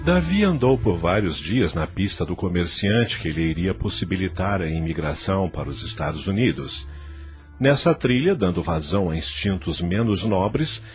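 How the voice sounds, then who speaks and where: Male narrator, Brazilian accent, low register, animated dramatic delivery for scripted audio-novel storytelling. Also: moderate pace, faint music behind. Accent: Brazilian Portuguese. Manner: theatrical, literary storytelling.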